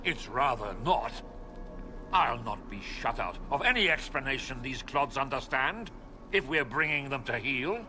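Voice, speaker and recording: A man's voice: mid-range voice, animated, measured pace, music in the background, close to an everyday voice. An actor playing a haughty, impatient nobleman, pompous and condescending, in a theatrical video game cutscene. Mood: indignant.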